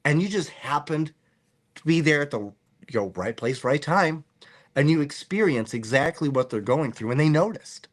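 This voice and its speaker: A man hosting a podcast in casual chat, animated and joking as he rambles through his musings. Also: fairly high pitch, moderate pace. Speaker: podcast host.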